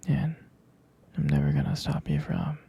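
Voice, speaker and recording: A man playing a tender, protective boyfriend in an ASMR roleplay, murmuring hesitantly and intimately in a breathy, husky whisper. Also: mid-range voice, very animated, moderate pace, mellow, muffled recording, heavily acted. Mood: tender jealousy. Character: jealous, protective, tender boyfriend consoling his partner.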